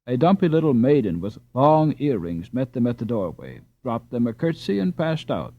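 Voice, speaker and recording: A man's voice: mid-range voice, sing-song, normal speed, no room sound. A man reading a children's novel aloud as a scripted audiobook narration. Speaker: audiobook reader. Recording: slightly dull, dry recording.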